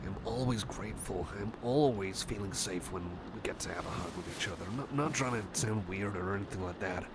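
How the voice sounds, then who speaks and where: A man's voice: sits low, a little fast, heavy background noise, natural voice. A man voicing a shy, anxious, affectionate young male cyborg friend, stammering and apologetic in an intimate ASMR roleplay. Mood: grateful, tender. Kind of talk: ASMR roleplay, intimate one-sided chat.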